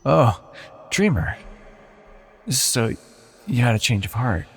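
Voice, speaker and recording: A man playing an ancient godlike villain, seductive and softly manipulative, with a gravelly, breathy voice in an intimate fantasy audio roleplay. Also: medium pitch, very animated, takes it slowly, big-room sound, full character voice. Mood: tender, teasing.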